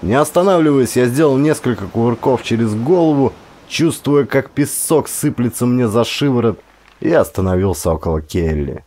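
A man reading a first-person story aloud as an audiobook narrator, measured and expressive. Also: medium pitch.

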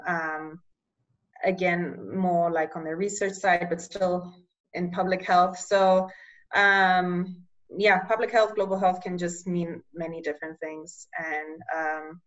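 A young woman from Eswatini whose first language is Bulgarian, working in public health, answering interview questions over a video call in a measured way, often pausing to find the right words. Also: on the low side, steady tone, dull audio.

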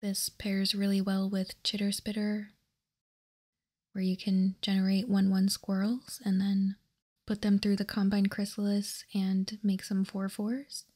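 A woman whispering softly and breathily in an ASMR style, calm and unhurried, as she hosts a card walkthrough. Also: medium pitch, slightly rough. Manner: calm, unhurried, reading out and commenting. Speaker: host of a card game ASMR channel.

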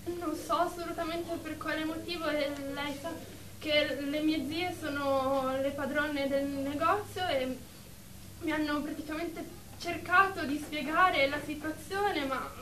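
Woman playing a fragile high-voiced patient, roomy sound. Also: steady tone, unhurried, a bit bright. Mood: defensive, hesitant. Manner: hesitant, evasive, polite. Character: fragile, nervous, fainting young lingerie shop assistant, female. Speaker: actor in a radio drama. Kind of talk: radio drama acting. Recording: roomy, distant mic, noisy background.

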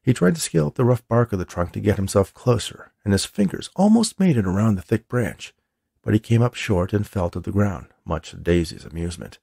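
A man's voice: fairly low pitch, sing-song, brisk, gravelly, mellow, husky, no room sound, clean studio sound. A man who is an author, narrating a chapter of his own story from the page. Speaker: author reading own book.

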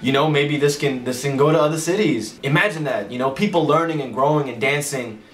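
A young man, the head choreographer, speaking to the camera in a relaxed and eager way, often repeating words and adding fillers. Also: on the high side, brisk, echoey room. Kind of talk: interview to camera. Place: indoors against a plain wall.

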